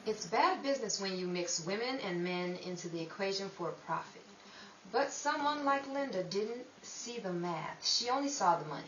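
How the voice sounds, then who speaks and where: A woman's voice: medium pitch, lively intonation, moderate pace, fairly bright tone, lightly acted. A woman playing a world-weary, streetwise narrator of a 1930s underworld tale, in dramatic old-time radio style. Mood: wry, ominous. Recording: echoey, distant mic, dull, faint hiss.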